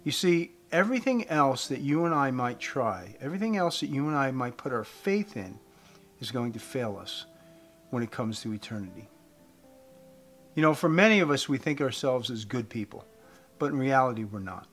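A man's voice: medium pitch, lively intonation, normal speed, a bit bright. Earnest man preaching over guitar music. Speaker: host giving a faith message. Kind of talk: evangelistic talk to camera. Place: indoors, room with wooden beams.

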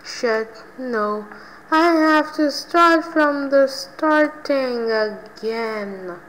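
A woman hosting her own gaming channel, giving let's play commentary and reacting loudly to each win and death. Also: high voice, animated, very slow, rough recording.

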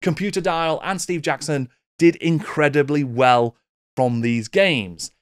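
A man's voice: a little high, a little slow, no echo. A middle-aged man presenting a scripted documentary-style video essay, close on a desk microphone. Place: small book-lined home studio.

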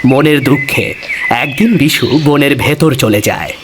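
A man's voice: medium pitch, unhurried, a little hiss or hum. A man narrating a scripted fairy tale as storyteller.

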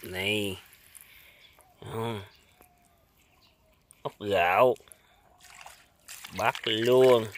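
A man's voice: fairly low pitch, extremely slow, pauses often, slight background noise. A man with a southern Vietnamese accent chatting casually from behind a handheld phone outdoors, often repeating short phrases. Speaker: vlogger filming shrimp and snail catching. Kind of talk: casual chat while filming. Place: outdoors on a muddy pond bank. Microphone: handheld phone, speaking from behind the camera.

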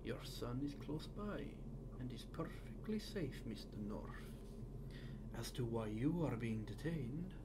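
A Cold War Soviet captain, acted by a man, questions in a formal, measured way with quiet menace. His pitch is average for a man, and clear background noise sits behind this radio-play voice. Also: lightly acted. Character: calm, menacing Soviet secret police captain, late thirties. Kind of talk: audio drama acting.

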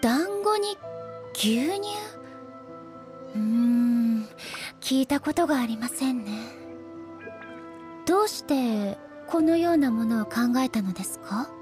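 A woman's voice: fairly high pitch, expressive, slow, long pauses, a little mellow, faint music behind. A woman playing a dignified, composed female shogun in a video game, speaking formally and politely. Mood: curious. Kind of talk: anime-style video game dialogue acting.

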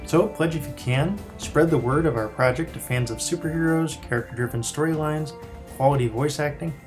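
A man's voice: a little high, brisk. A man presenting his own project in scripted promotional narration. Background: soft music.

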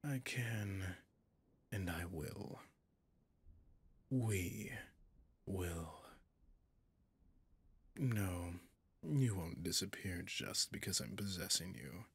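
Man doing a deep, breathy, possessive demon. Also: full character voice. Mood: vengeful, soothing. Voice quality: gravelly, breathy, often whispering. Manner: slow, seductive, menacing, condescending. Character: possessive gloating male demon possessing a human. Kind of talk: ASMR roleplay.

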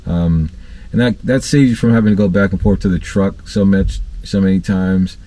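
Relaxed low-voiced man giving trade tips. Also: normal speed. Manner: relaxed, conversational, many fillers and restarts. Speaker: HVAC technician and channel host. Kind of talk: casual training talk to camera. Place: parked work van, seated in driver's cab.

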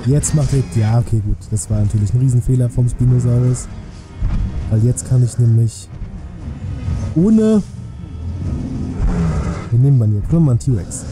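A man's voice: medium pitch, expressive, normal speed, pauses often. A man commentating a game on a live stream, talking colloquially and thinking aloud in a casual way. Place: small indoor home streaming room. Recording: music behind the voice.

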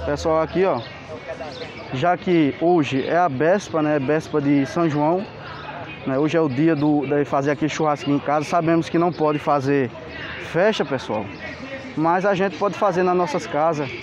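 A man's voice: fairly high pitch, moderate pace, crowd noise. A man with a Pernambuco accent filming a walking tour of an outdoor street market, pointing things out and asking viewers for likes. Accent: Pernambuco Portuguese. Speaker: travel vlogger. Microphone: handheld camera. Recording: lo-fi camera audio with street noise.

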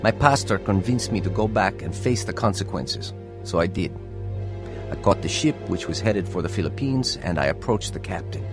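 A man's voice: low-pitched, animated, normal speed. An actor playing a rebellious, hot-tempered young sailor telling his life story in a radio drama. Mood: reflective narration. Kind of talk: dramatized radio testimony, narration and dialogue. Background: music.

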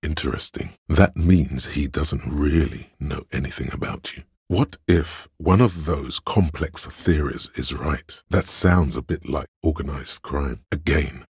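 Super deep raspy inner voice of logic for a detective game, cool n brainy, talks down to you, measured. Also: male voice, no echo, clean studio sound, full character voice. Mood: cool, deductive. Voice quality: gravelly, husky. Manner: measured, deliberate, dryly analytical. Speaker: voice actor. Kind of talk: scripted game narration.

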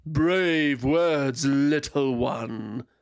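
A man voicing a cruel, gloating female dragon who taunts her foe in slow, menacing, purring words. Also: mid-range voice.

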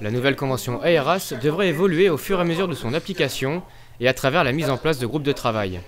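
Brisk male news voice-over. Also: on the high side, music in the background. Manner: brisk, even read. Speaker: news reporter.

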